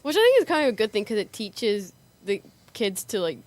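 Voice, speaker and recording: A woman's voice: mid-range voice, very animated, a little fast, a little mellow. A woman chatting casually as a radio guest, in informal, tentative, pieced-together phrases. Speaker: radio guest and amateur actress. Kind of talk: casual chat.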